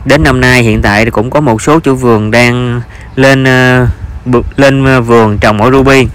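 A man's voice: medium pitch, fairly even intonation, normal speed, a bit bright, clear, resonant tone. A man with a Southern Vietnamese accent narrates an informal vlog as a farmer, with hesitant, repetitive phrasing.

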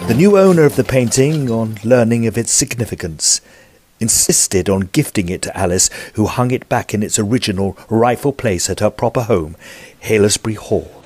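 Man playing a formal Edwardian gentleman narrator, music behind. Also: fairly low pitch, moderate pace, natural voice. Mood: measured. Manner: formal, polite. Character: earnest, apologetic Edwardian estate agent narrating his investigation. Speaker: narrator and character. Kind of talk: audio drama storytelling.

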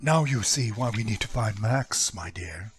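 A man playing a kindly, courteous gentleman who speaks haltingly in old-time radio drama style, with a husky voice. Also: fairly low pitch, expressive, no room sound, soft background music, full character voice. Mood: gentle, concerned. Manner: halting, polite.

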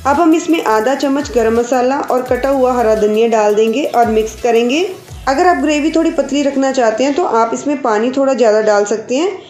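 A woman hosting a cooking channel, calmly explaining each step of a recipe to her viewers. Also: high voice, fairly quick, roomy sound, soft background music. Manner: calm, explanatory, addresses viewers directly. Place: home kitchen.

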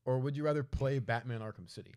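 A man's voice: mid-range voice, fast, a little mellow. A man co-hosting a casual debate, speaking in a blunt, contrarian way with casual swearing. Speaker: podcast co-host.

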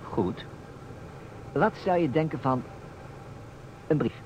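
A man's voice: on the high side, animated, slow, a little mellow. An actor playing a playful, insistent man who stages a detective puzzle in a radio play, with a teasing, emphatic manner. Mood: theatrical. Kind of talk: Dutch radio play acting. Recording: dull, noisy old radio recording.

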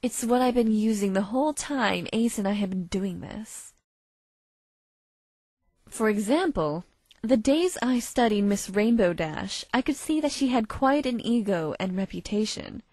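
A woman playing a cold, calculating female unicorn blackmailer in a visual novel fan dub, speaking in a smug, measured, threatening way. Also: mid-range voice, expressive, a little mellow, no echo. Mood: smug, explaining. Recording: faint music behind.

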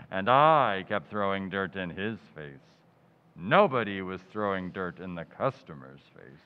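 An actor playing a pompous, smug middle-aged undertaker in a 1940s-style radio comedy, drawn-out and punning. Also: male voice, mid-range voice, clear, resonant tone, close to an everyday voice. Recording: tinny, reverberant lo-fi audio.

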